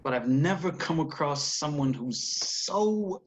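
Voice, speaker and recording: A man playing a frank, disappointed colleague in a screenplay table read, speaking in a measured way. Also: on the high side, animated, slight background noise. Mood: frank, regretful. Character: frank, disappointed male colleague and old friend.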